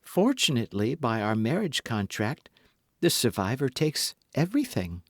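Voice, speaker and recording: A man's voice: mid-range voice, very animated, normal speed, no echo, studio quality, pitched above the performer's own voice. A man reading an audiobook, voicing a proud, anxious lawyer's wife in a raised, pleading voice. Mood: scheming. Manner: piqued, pleading.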